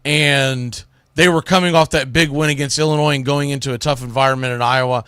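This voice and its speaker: Bright, punchy male sports talk host. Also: mid-range voice, steady tone, a little fast, smooth, dry recording, clean studio sound. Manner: blunt, emphatic, colloquial. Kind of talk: opinionated college football commentary.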